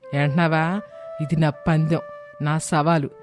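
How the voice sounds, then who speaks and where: Man acting stubborn, scornful mother-in-law, fairly high voice. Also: level tone, measured pace, close to an everyday voice. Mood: scornful, challenging. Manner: taunting, commanding. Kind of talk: cartoon moral story acting. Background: background music.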